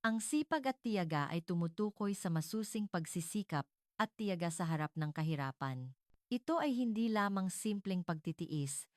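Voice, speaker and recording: A woman with a low voice narrates a scripted story in a formal, measured way. The recording is dry and close, with hardly any room sound around her dramatic narration. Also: no room sound.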